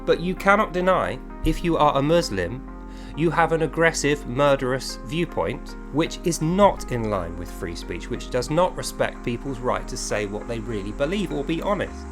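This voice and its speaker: A man's voice: medium pitch, big pitch swings, normal speed, over music. A man from England with a British accent delivers a confrontational, insistent monologue as an online video creator, addressing one person directly with emphatic phrasing.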